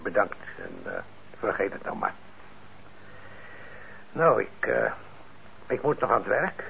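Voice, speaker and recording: An actor in a Dutch radio play playing a kindly, weary older instrument repairer, mild and hesitant, with a gravelly voice. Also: male voice, fairly low pitch, wildly swooping pitch, slow, fairly bright tone, exaggerated voice. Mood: mild, busy. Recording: muffled, noisy old radio recording.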